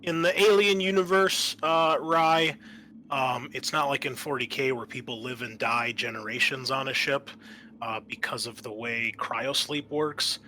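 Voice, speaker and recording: A man working as game master, narrating and explaining a tabletop game in a halting, conversational way. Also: mid-range voice, fairly even intonation, sharp tone, slight background noise, poor audio.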